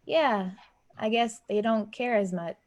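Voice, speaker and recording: Casting: woman playing friendly young front-desk worker in a stage drama; unhurried pace, slightly high voice, gentle and hesitant. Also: lightly acted. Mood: easygoing. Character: friendly, shy 23-year-old woman working as lobby attendant. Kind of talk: naturalistic acting in an online staged play reading. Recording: dull, lo-fi online recording.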